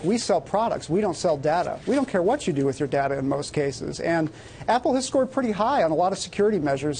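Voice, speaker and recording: High-pitched man with a mellow voice. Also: a little hiss or hum. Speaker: news commentator on technology. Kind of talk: broadcast panel discussion, off the cuff.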